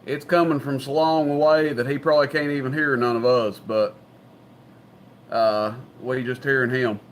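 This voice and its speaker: A man's voice: mid-range voice, steady tone, moderate pace, slight background noise. A man with a Southern US accent, chatting casually and folksily as he hosts an evening on CB radio. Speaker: CB radio operator and livestream host. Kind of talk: casual chat over CB radio.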